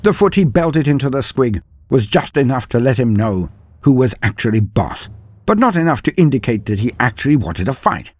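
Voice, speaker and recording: A man with a husky voice tells a comic fantasy story as a grand mock epic. His delivery is theatrical and highly animated, rising and falling widely over a soft music bed. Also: moderate pace, dry recording. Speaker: narrator and storyteller. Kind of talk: dramatic comedic scripted fantasy narration. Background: background music.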